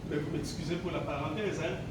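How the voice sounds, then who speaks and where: A man's voice: fairly high pitch, fairly even intonation, fairly quick. A man in his sixties from Congo, a teacher giving a reflective gala speech, hesitant and full of fillers and repeated words. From Congo (DRC). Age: 60s. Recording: very echoey hall, distant mic, background noise.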